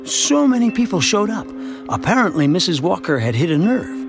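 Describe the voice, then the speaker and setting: Animated, wry suburban dad, over music. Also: male voice, sits high, normal speed, gravelly, full character voice. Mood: storytelling. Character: chatty, wry suburban family man narrating his town's story. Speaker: narrator. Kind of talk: scripted storytelling narration for an animated short. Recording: music behind the voice.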